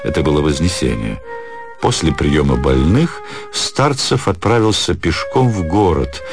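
A man narrating a literary story for a radio play, in a storyteller's expressive style. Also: very deep voice, unhurried, vocal fry, over music.

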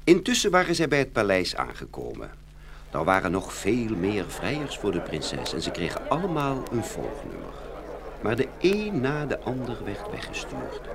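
A man narrating a fairy tale in an expressive, scripted storytelling style. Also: fairly low pitch, normal speed, creaky, noisy background.

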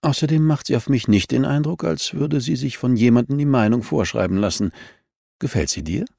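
A man voicing a sharp-minded, teasing woman in an audiobook, with a probing, pointed manner. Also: medium pitch, brisk, gravelly, no echo, clean studio sound, voice pushed up.

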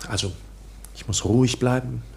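A man's voice: medium pitch, steady tone, moderate pace, gravelly, darkish tone, natural voice. A man plays an anxious bank clerk in a radio drama. His mid-range voice is formal and insistent, proud yet unsettled, and faint noise lies behind it. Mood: agitated brooding. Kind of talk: radio play acting.

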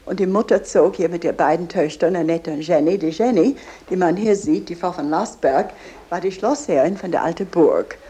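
A woman's voice: lowish voice, normal speed, dark tone, close to an everyday voice. A woman as a museum guide, addressing visitors directly and explaining in a didactic way. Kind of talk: explanatory guided-tour talk.

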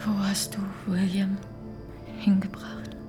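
An actress playing a frightened but calculating farmer's wife in a radio play, murmuring tensely and urgently in a hushed, breathy voice. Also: female voice, mid-range voice, completely flat delivery, slow pace, music in the background, lightly acted. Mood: anxious.

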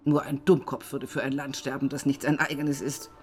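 A woman's voice: extremely low pitch, little pitch movement, normal speed, darkish tone, soft background music. An actress playing an older widow, a stern but loving adoptive mother, dry and firm in a naturalistic radio drama. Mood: firm, tender.

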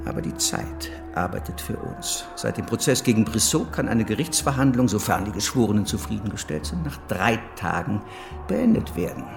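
Calculating state official, radio play, lowish voice, brisk controlled manner, music underneath. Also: male voice, big pitch swings, creaky. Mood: cold, calculating. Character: cold, calculating official plotting a rigged trial. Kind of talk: radio drama acting.

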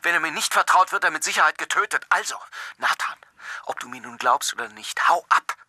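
A man's voice: fairly high pitch, animated, a little fast, bright, forceful tone, no room sound, studio quality, full character voice. An actor in a German radio drama playing an urgent, commanding male police lieutenant with a pressing, insistent delivery.

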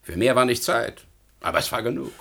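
A man's voice: medium pitch, fairly quick, a bit bright, close to an everyday voice. A man acting in a German radio play as a stern, bitter government official, speaking in an emphatic, sardonic, rhetorical manner. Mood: urgent.

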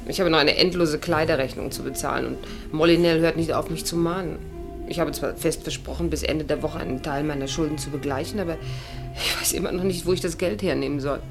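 A sly, dissatisfied socialite in a radio thriller; fairly low female voice, pointed and arch delivery. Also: steady tone, brisk, over music. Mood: troubled. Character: Isabel, discontented, indebted society woman with sly tongue. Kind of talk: German radio crime drama acting.

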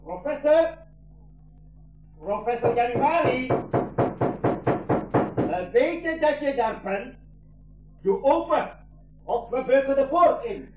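Menacing male gang leader, drawn-out threatening calls, dull and distant, children's radio drama. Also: extremely high pitch, lively intonation, soft background music, exaggerated voice. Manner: slow shouted threats. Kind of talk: 1980s school radio play acting. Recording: distant echoey shouting, dull sound.

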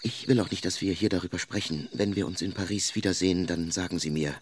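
An actor playing an ardent, courteous suitor in a literary radio drama, speaking with formal, earnest gallantry. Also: male voice, low voice, quick pace, noisy background, close to an everyday voice. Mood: earnest, pleading. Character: ardent, courteous male suitor consoling a young widow.

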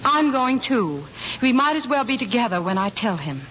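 A woman's voice: a little high, big pitch swings, moderate pace. An actress playing a scheming married woman who professes forbidden love in theatrical 1940s radio comedy style. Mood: resolute. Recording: muffled, noisy 1940s radio recording.

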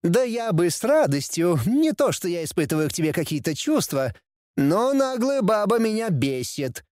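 A man's voice: sits high, very animated, normal speed, a little mellow, dry recording, studio quality, voice pushed up. A man voicing a bratty young demon prince in a storybook reading, with an insolent, whining, taunting manner. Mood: mocking. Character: bratty, cheeky young demon prince, mocking his father.

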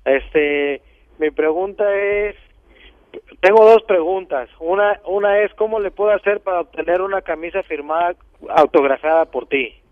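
A man's voice: sits high, normal speed, no room sound. A man calling in to a radio show, playful and joking. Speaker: caller. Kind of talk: call-in chat on radio. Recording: dull lo-fi phone line.

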